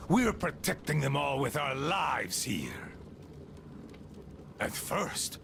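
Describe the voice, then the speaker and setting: A bitter, crippled outpost leader in a video game, ranting with mocking scorn. His gravelly, rough voice swings up and down in pitch as he drags out his words slowly. Clear ambient game noise hums behind him. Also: heavily acted. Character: embittered wounded male outpost leader.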